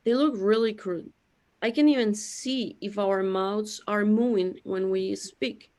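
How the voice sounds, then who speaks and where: Woman playing a slow-spoken, lonely immortal creator god. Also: medium pitch, darkish tone, close to an everyday voice. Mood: complaining. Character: lonely, self-pitying immortal creator god, the Bornless One. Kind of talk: scripted audio drama dialogue.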